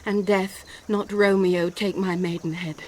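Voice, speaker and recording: An actress with a British accent playing a passionate, distraught young bride in classical Shakespearean radio drama, phrasing verse theatrically. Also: female voice, mid-range voice, little pitch movement, measured pace, a little hiss or hum, natural voice. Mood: despairing, subdued.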